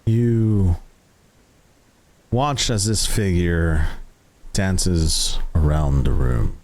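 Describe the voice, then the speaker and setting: Deep, very slow dramatic male game master. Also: darkish tone. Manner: deliberate, suspenseful, with long dramatic pauses. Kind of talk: dramatic narration of a tabletop roleplaying game.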